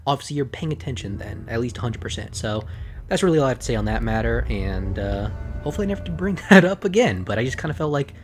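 A slightly hoarse man rambling through casual gameplay commentary, full of fillers and self-corrections. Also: medium pitch, lively intonation, fast, faint music behind. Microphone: desk microphone.

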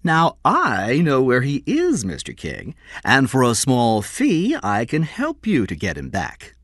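A man voicing a small, sly con man in an audiobook story, oily and self-righteous, with drawn-out, insinuating politeness. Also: medium pitch, huge pitch swings, a bit bright, no echo, clean studio sound, pitched above the performer's own voice, exaggerated voice. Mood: sly, taunting.

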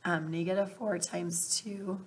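A woman's voice: on the low side, fairly even intonation, a little slow, a little mellow. A woman in her thirties, a math teacher, explaining a lesson step by step to her students with a scratchy voice. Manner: patient step-by-step explaining, addresses students directly. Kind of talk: recorded math lesson over worksheet. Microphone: webcam bubble over screen recording.

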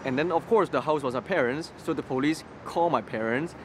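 A young man from Hong Kong, Cantonese his native tongue, speaks in a slightly high voice. He talks haltingly, repeating words as he tells his story. It is recorded on a lapel mic outdoors, with street traffic clearly heard behind him. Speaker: interview guest. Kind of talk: personal testimony interview. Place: outdoors on a city street by a graffiti wall. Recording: street noise in the background. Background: city traffic noise.

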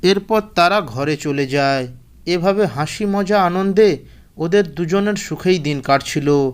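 Male narrator for a children's cartoon fable, notably high voice, scripted storybook read. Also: measured pace, smooth.

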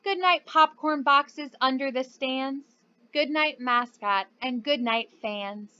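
Casting: young female children's teacher, high-pitched, unhurried delivery, encouraging manner. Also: sharp tone, highs cut off. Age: young adult. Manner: encouraging, addresses young children simply and clearly. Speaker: preschool teacher. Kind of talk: children's lesson and storybook read-aloud.

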